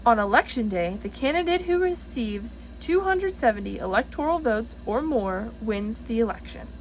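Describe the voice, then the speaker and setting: A woman reading the part of a male schoolteacher in a storybook, explaining in an instructive, measured manner. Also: fairly high pitch, expressive, lightly acted, rough recording.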